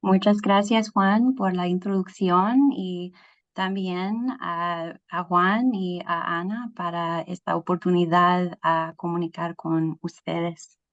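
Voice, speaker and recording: A woman presents at a measured, unhurried pace, choosing her words carefully as a non-native speaker. Her voice sits a little low, and the video call audio comes through dull and lo-fi. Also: fairly even intonation. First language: English. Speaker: guest speaker, scientist. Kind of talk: webinar presentation.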